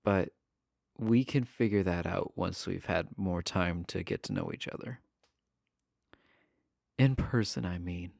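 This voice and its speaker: A man acts out an adorkable jailed villain in an intimate romance audio roleplay. His low voice has a gravelly creak and moves slowly, with nervous, halting stammers and an earnest, smitten tone. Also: very animated, darkish tone, no room sound, clean studio sound, heavily acted. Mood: shy, hopeful. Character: shy, adorkable imprisoned male villain, smitten with pen pal.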